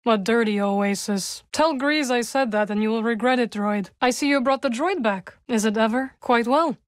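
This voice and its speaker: A woman playing a solemn, guarded young witch warrior in a video game, dryly wry, with measured, formal phrasing. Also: a little high, no echo, clean studio sound, lightly acted. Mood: dry, composed. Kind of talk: video game character dialogue.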